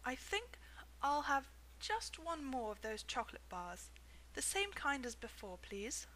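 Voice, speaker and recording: A woman voicing a shy, polite small boy who asks quietly in a storybook reading. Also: sits high, big pitch swings, unhurried, a little hiss or hum, heavily acted. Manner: quiet, hesitant, almost whispered. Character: poor hungry small boy, timid and polite.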